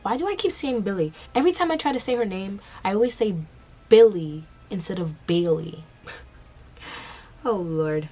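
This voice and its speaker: A young woman with a raspy, hoarse voice after losing it, chatting casually through a makeup tutorial and giggling now and then. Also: medium pitch, animated, a little slow, mellow. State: hoarse, lost voice. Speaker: beauty vlogger.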